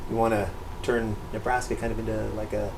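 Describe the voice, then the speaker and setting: A man's voice: fairly low pitch, little pitch movement, brisk, noisy background. A middle-aged American man hosting a vlog, talking in a casual narrating and chatting style. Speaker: vlog host.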